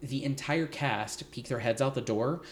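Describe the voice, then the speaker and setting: A man hosting a podcast, telling stories in an animated, gossipy way with a slight lisp now and then. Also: medium pitch, moderate pace, slight background noise. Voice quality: light lisp at times. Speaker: podcast host. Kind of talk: casual chat.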